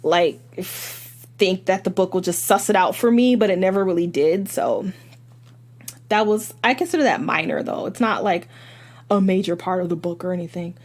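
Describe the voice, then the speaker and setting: Slightly hoarse chatty woman book reviewer. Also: lowish voice, moderate pace, a little mellow. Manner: chatty, rambling with fillers and restarts. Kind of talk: casual book review monologue.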